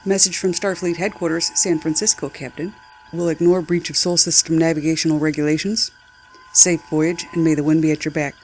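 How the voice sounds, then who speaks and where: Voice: medium pitch, flat delivery, fairly quick, slight background noise, close to an everyday voice, poor audio. A performer playing a formal, dutiful starship communications officer in a science fiction audio drama. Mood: formal, reporting.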